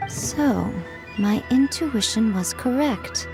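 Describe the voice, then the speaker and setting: A poised, mysterious noblewoman from a game, drawing out each word very slowly in a calm, mid-toned voice. Game music plays underneath. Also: on the high side. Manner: slow, measured, mysterious. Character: serene, enigmatic noblewoman, cryptic and poised. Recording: game soundtrack behind.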